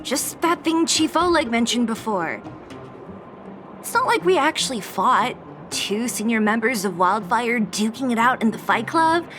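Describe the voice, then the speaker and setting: Casting: dry, reluctant young woman fighter for a game, slightly high, unhurried, music behind. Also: animated, a bit bright. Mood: dry, cool. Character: reserved, exasperated young female underground resistance fighter. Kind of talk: video game voice acting.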